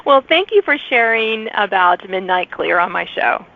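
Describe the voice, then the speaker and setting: Female interview host, mid-pitched, informal and agreeable, phone-band audio. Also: lively intonation, normal speed, gravelly. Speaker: podcast host. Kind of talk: casual author interview. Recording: phone-like radio-sounding line.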